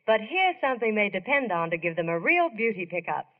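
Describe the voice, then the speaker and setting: A woman's voice: medium pitch, sing-song, brisk. A woman delivering a scripted 1940s radio advertisement in a chirpy, polished, emphatic way. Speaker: radio ad spokeswoman. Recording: muffled old radio recording.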